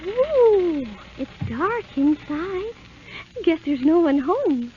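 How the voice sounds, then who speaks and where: An actress playing a gentle, sweet young princess in a 1930s radio drama. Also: female voice, extremely high pitch, big pitch swings, extremely slow, noisy background, heavily acted. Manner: soft, sweet, wondering. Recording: dull old radio broadcast with music.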